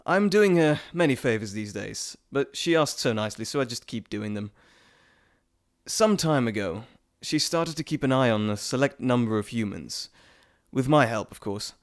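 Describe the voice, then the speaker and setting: A man's voice: mid-range voice, expressive, moderate pace, close to an everyday voice. A man playing a playful, cheeky messenger god in a dramatic roleplay monologue, chatty and theatrical, talking straight to the listener. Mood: confiding. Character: playful, teasing male Greek messenger god, cheeky trickster.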